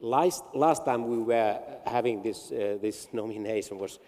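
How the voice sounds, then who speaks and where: Guy with a really low voice, lots of uhs and restarts, echoey conference hall. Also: warm tone. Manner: halting, many fillers and restarts. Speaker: regional council representative. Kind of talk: panel discussion answer. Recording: long hall reverb.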